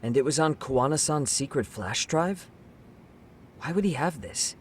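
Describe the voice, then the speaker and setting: A man's voice: medium pitch, lively intonation, normal speed, slight background noise, lightly acted. A man playing a curious sidekick in an investigation, in an English video game dub. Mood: puzzled. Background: faint background music.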